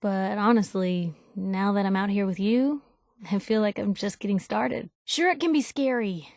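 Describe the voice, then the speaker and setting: A plucky young woman reporter from a wasteland video game, played by an actress, speaks in a close, very dry studio recording. She is candid and wry, with a folksy, expressive conversational delivery at a medium pitch. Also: no echo, close to an everyday voice. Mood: earnest.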